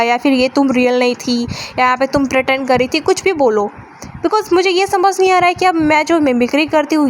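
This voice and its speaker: Young woman, high voice, chatty podcast host, casual commentary, faint background noise. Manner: chatty, mixes English into Hindi, lots of fillers.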